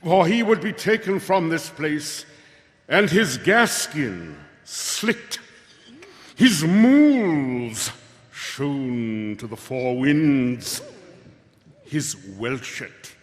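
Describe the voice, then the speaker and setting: An actor playing a pompous, scheming grand master of a secret cult, grandiose and ceremonial, in live radio theatre. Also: male voice, on the high side, heavily acted. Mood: solemn, ceremonial. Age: middle-aged. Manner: grandiose, slow ceremonial delivery. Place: stage in a large hall. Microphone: stand microphone. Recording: echoey live stage hall.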